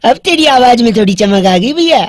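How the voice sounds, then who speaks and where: A man's voice: very high voice, lively intonation, a little fast, no room sound, pitched above the performer's own voice. Cheeky prank caller, a man putting him on, teasing, punning, dry close sound. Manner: teasing, playful, wordplay jokes. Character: cheeky prank-calling young man Bauaa. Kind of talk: radio prank call.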